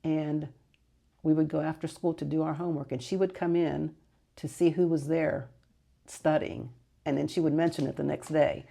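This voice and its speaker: Older woman reminiscing, low gravelly voice. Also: flat delivery, moderate pace. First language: Greek. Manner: rambling, fond recollection with soft laughs and self-corrections. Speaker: interviewee. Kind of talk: casual reminiscing interview.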